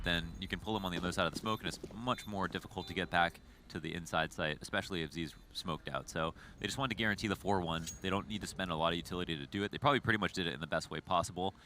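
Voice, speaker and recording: A man giving fast, analytical live commentary on an esports match. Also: low voice, bright, forceful tone, a little hiss or hum.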